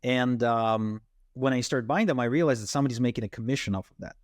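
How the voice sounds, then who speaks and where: A man's voice: mid-range voice, fast, no room sound. Male podcast guest, native Russian speaker, dry sound. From Ukraine, and Israel from age twelve. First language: Russian and Ukrainian. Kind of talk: conversational interview storytelling.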